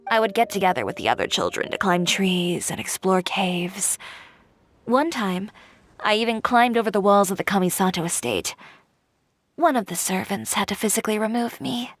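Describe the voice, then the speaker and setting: A woman's voice: mid-range voice, animated, moderate pace, no room sound, natural voice. A woman voicing a proud, headstrong young woman tailor who speaks in a cool, confident and frank way. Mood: reflective. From Inazuma.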